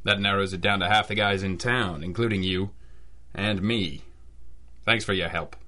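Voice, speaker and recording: An actor playing a tough, sardonic, world-weary private eye with a dry manner in an old-style radio drama. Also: male voice, low-pitched, steady tone, normal speed, pushed and bright. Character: tough, sardonic male hard-boiled private eye, war veteran.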